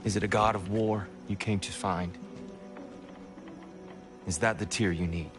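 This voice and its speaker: Guy doing tired gentle ex war god in a game, kinda deep, halting n solemn, music under. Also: fairly even intonation, natural voice. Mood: wary, questioning. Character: gentle, weary, wounded former war god, pacifist man. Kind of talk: video game dialogue acting.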